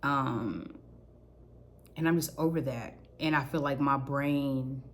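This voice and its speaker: A young woman speaks into her own vlog in a low, naturally deep voice. She rambles candidly through her thoughts and drops curse words without thinking about it. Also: takes it slowly. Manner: candid, rambling, casual cursing. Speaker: vlogger. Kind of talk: personal reflective vlog.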